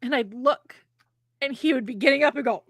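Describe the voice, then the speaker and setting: A woman's voice: sits high, fairly quick, dry recording. Chatty American woman, dry close sound. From United States. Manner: chatty storyteller, laughs often. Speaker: podcast co-host, author. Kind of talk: casual chat between friends.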